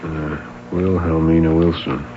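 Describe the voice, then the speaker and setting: An actor playing a patient, probing police sergeant who speaks laconically, in a 1970s radio drama. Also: male voice, very deep voice, flat delivery, unhurried, slight background noise. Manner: laconic, probing, mumbled fillers. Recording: lo-fi old radio broadcast.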